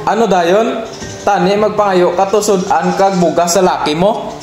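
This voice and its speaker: Man acting as abusive jealous husband, radio drama, high voice, Western Visayan accent, echo, faint music. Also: normal speed, a little mellow, close to an everyday voice. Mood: angry, accusing. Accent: Western Visayan Philippine. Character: jealous, accusing, abusive hard-drinking young husband. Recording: roomy echoing recording, faint music.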